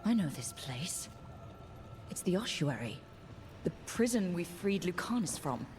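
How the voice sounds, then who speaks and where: An actress playing a composed, decisive video game heroine with a dry, questioning manner. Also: female voice, fairly low pitch, sing-song, unhurried. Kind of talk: video game character dialogue. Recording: music behind.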